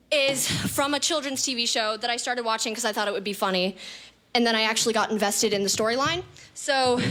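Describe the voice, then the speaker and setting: A woman talks to a live audience between songs, her voice sitting very high. She rattles through her lines in a quick, offhand, chatty way. The recording is a live stage mic that sounds a little distant, with the band and its gear close behind her. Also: sharp tone. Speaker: band member introducing songs. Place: live music venue studio.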